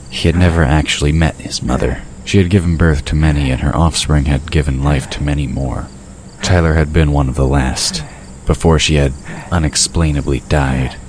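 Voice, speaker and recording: A man's voice: very deep voice, darkish tone, a little hiss or hum. A man narrating a fantasy audio drama in a scripted storytelling style.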